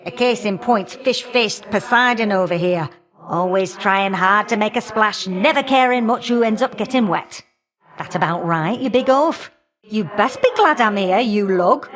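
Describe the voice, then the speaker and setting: A woman's voice: mid-range voice, normal speed, a bit bright, natural voice. A woman playing an irritable, motherly old goddess in a video game, scolding and teasing in a folksy way with old-fashioned endearments. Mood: bossy, needling. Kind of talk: video game character dialogue.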